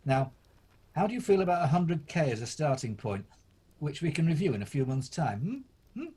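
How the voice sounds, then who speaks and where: A man playing old Ebenezer Scrooge, a gruff miser turned benefactor, in an amateur radio play, with a husky, deliberate, theatrical delivery. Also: on the high side, big pitch swings, warm tone. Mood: teasing, commanding.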